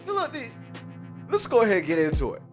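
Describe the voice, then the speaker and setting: A man's voice: sits high, wildly swooping pitch, fast. A man hosting a live stream in casual chat, stuffy-nosed and under the weather, talking in hyped slang and greeting chatters by name. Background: faint live jazz music.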